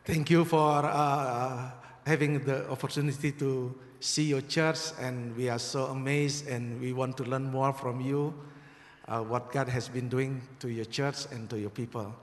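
Slow, halting man with an Indonesian accent. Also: medium pitch, steady tone. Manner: halting, many fillers and restarts. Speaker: visiting church president. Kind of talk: guest greeting to a congregation. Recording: reverberant hall with crowd.

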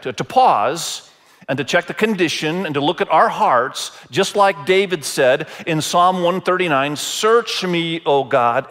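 A man from Indonesia preaching as a pastor, deliberate and repeating phrases for emphasis. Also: on the high side, fairly bright tone. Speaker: pastor preaching to a church congregation. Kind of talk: sermon.

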